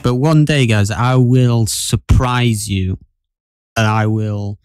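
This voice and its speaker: Slow-talking young man, dry close sound. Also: medium pitch, darkish tone, smooth, no room sound. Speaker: podcast co-host. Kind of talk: casual podcast chat.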